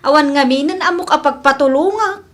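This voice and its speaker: A woman playing an eager, pleading young daughter in an Ilocano radio drama, talking in a lively, reassuring way. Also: sits high, fairly quick. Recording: music behind the voice.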